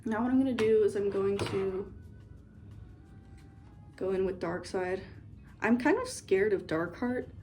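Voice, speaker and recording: A woman's voice: mid-range voice, measured pace, pauses often, warm tone, faint music behind. A young woman in a dorm room, rambling playfully as she does her makeup for a get-ready-with-me video. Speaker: beauty vlogger. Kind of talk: casual get-ready-with-me chat.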